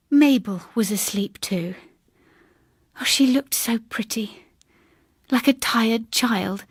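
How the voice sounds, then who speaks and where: Sombre older spinster, played by a female reader, grave and halting audiobook ghost tale, breathy, dry close sound. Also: fairly high pitch, dry recording, exaggerated voice. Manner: hushed, grave, halting delivery. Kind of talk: dramatic ghost story reading.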